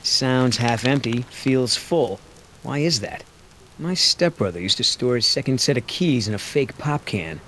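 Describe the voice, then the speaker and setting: A man's voice: medium pitch, animated, measured pace, fairly bright tone, a little hiss or hum. A man playing an easygoing, wisecracking young mercenary with a wry manner in a video game. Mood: curious, musing. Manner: wry, muttering to himself. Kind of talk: naturalistic video game acting.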